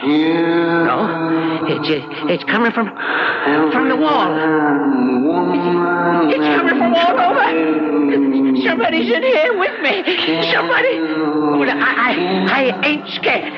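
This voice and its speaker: An actor playing a gullible, blustering colonial American bargain hunter with a folksy, stammering delivery, in old-time radio drama style. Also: male voice, a little high, big pitch swings, slow, pauses often, loud music behind the voice. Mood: terrified, stammering. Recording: muffled radio drama recording, loud background noise.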